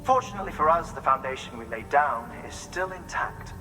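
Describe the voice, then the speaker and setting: An actor playing a cerebral, measured, eccentric male scientist in a video game, speaking precisely and formally. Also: a little high, expressive, bright, forceful tone, a little hiss or hum. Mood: earnest briefing. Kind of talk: video game cutscene acting.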